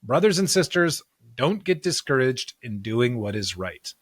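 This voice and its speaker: A man's voice: mid-range voice, very animated, measured pace, breathy edge, dry recording, studio quality. A man hosting a Bible podcast, explaining and reading scripture in an earnest, emphatic way. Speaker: podcast host discussing scripture.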